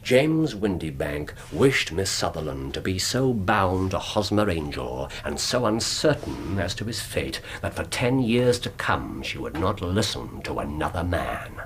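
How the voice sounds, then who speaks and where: An actor playing a shrewd, scornful Victorian detective in a British radio drama, English accent, speaking with precise, theatrical delivery. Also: male voice, low-pitched, very animated, measured pace, hardly any pauses, a little hiss or hum, heavily acted. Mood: expounding, dry.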